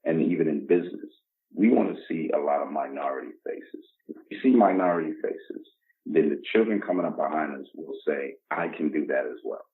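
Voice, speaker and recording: Very deep-voiced New man in his fifties. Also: brisk. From the Bronx, New York, United States. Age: 50s. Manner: emphatic, acts out imagined dialogue. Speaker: podcast guest. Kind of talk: casual motivational conversation. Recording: dull, muffled lo-fi recording.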